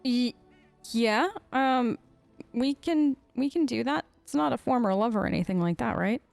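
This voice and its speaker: A woman hosting a let's play, improvising in-character dialogue in a chatty, expressive, reactive way. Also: fairly high pitch, moderate pace, creaky, dry recording, faint music behind. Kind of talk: improvised in-character role-play over a game.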